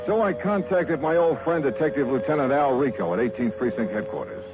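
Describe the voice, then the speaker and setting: An actor playing a wry, hard-boiled American insurance investigator in 1950s radio noir style, clipped and brisk. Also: male voice, medium pitch, expressive, music in the background, natural voice, poor audio. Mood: dry narration. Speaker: lead actor in radio detective drama. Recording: vintage 1950s radio recording.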